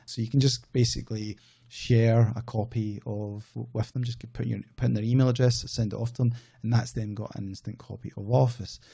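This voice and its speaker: A man's voice: medium pitch, steady tone, brisk, vocal fry, dark tone, husky. A man with a Scottish accent, hosting his own channel and talking casually to camera, rambling and correcting himself as he goes. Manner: rambling, self-correcting, conversational. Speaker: outsourcing business channel host.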